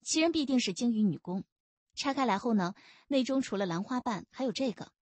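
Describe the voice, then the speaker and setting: A woman voicing a cheeky, eager, quick-witted young policewoman in an audiobook. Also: a little high, expressive, darkish tone, dry recording. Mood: matter-of-fact, keen.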